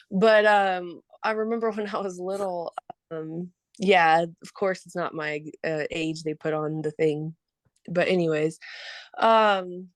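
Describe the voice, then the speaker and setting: A woman's voice: fairly low pitch, no room sound. A woman in her thirties, a podcast guest chatting casually and laughing often as she tells stories. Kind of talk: casual chat.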